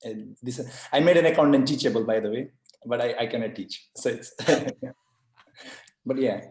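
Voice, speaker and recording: A man's voice: medium pitch, a little slow, a little mellow. A man from Nepal giving a casual, effusive and earnest testimonial as a former course student. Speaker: IoT solution architect, course alumnus giving testimonial. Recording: roomy echo.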